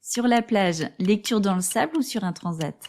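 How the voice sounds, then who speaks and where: A woman's voice: medium pitch, moderate pace. A woman hosting a book podcast, asking prepared interview questions and reading a book summary. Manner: steady, reads prepared questions. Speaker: podcast host.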